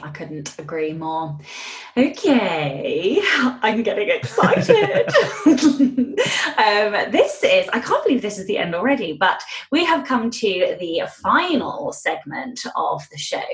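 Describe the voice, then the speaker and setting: A woman hosting a casual interview podcast, speaking in an enthusiastic, agreeable way. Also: on the high side. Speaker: podcast host.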